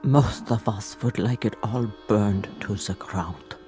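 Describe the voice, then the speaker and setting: A performer playing a guarded, sombre German village innkeeper, terse, reluctant and deferential, with quiet disapproval. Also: medium pitch, slow, faint music behind, lightly acted. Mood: sombre, disapproving. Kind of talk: radio drama acting.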